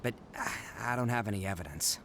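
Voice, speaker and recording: A man's voice: lowish voice, quick pace, fairly bright tone, a little hiss or hum. A man voicing a boisterous, proud young male Oni gang leader in a game cutscene, given to hearty laughs. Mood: earnest. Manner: boastful, hearty laughs, earnest when serious.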